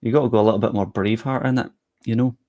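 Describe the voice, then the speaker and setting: A man's voice: lowish voice, fairly even intonation, rapid-fire, dark tone, dry recording, dull audio. A young man live-streaming, chatting casually with his viewers and thinking aloud as he works on screen.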